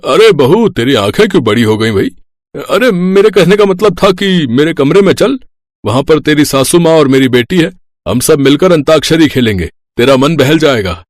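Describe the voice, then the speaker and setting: A man's voice: on the high side, animated, normal speed, no room sound, clean studio sound, lightly acted. A man voicing a sly, lecherous older father-in-law in a cartoon, with a coaxing, smarmy manner. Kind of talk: cartoon dubbing.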